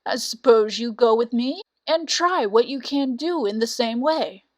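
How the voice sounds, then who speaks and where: A woman's voice: fairly high pitch, lively intonation, measured pace, a little mellow, dry recording. A woman voicing an old donkey in a storybook, hearty and courteous, with emphatic old-fashioned speech. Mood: friendly, encouraging.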